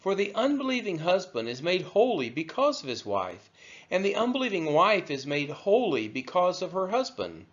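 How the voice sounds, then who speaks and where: Expressive man reading scripture, dull audio. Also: sits high, normal speed. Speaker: scripture reader. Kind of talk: scripted read-aloud of scripture.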